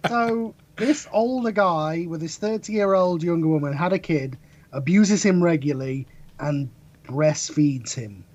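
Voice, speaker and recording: A man with a somewhat high-pitched voice chats casually as a podcast panelist. His delivery is sarcastic and incredulous, full of dry joking. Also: unhurried, a little mellow.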